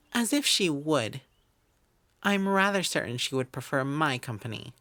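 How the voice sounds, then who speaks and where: A woman's voice: sits low, lively intonation, unhurried, fairly bright tone, no room sound. A woman voicing a proud female elf wizard in an audio roleplay, prim and aloof, often sighing. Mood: haughty.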